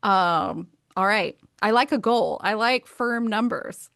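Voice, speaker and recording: An American woman in her thirties chats as a guest about her writing, eager and upbeat, with plenty of "um" and "like" scattered through her talk. Her voice sits at an ordinary middle pitch. It is recorded close and dry, with no room sound around it. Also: slow pace, creaky, fairly bright tone, no room sound. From United States. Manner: enthusiastic, chatty, many fillers like um and like. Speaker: author and guest. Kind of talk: casual interview conversation.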